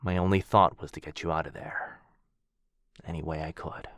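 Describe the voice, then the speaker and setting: Man playing a very deep-voiced gentle vampire lord. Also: fairly even intonation, normal speed, no echo, clean studio sound. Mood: tender, regretful. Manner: soft-spoken, formal, tender, with hushed breathy dips. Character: gentle, earnest aristocratic vampire lord, protective and melancholy. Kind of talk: intimate boyfriend ASMR roleplay.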